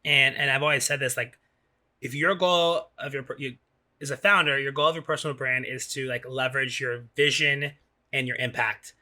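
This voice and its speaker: A man's voice: medium pitch, fairly even intonation, normal speed, sharp tone. A man hosting a podcast in casual chat, informal and circling back to restate his points. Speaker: podcast host.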